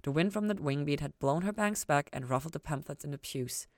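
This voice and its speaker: A woman's voice: low-pitched, a little fast, darkish tone, dry recording, studio quality. A woman reading a story aloud as scripted narration, in the manner of an audiobook. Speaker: podfic reader and podcast host.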